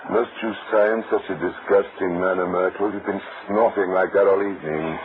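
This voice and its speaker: An actor playing a pompous, condescending, easily irritated wife-killer with formal speech in an old-time radio drama. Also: male voice, deep voice, steady tone, moderate pace, close to an everyday voice. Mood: irritated, menacing. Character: smooth, pompous wife-killing bigamist, unhinged and easily irritated. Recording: tinny, noisy old radio recording.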